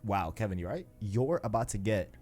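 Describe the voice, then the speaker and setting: A man's voice: lowish voice, animated, normal speed, darkish tone, soft background music. A man hosting a podcast, chatting casually about video games in slangy speech and often repeating himself as he agrees. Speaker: podcast host.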